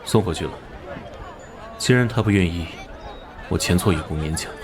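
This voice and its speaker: Animated crime drama: haunted ex-soldier captain played by a man, deep, blunt and earnest, over clear background noise. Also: moderate pace, some chatter behind, natural voice. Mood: resigned, blunt. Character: hot-tempered, haunted male police squad captain, former soldier. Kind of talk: Chinese animation dubbing.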